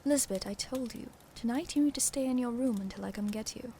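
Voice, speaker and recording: A woman's voice: a little high, fairly quick, mellow, lightly acted. A woman playing a formal, firm aunt who runs an estate, in an amateur radio play. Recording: faintly noisy home recording.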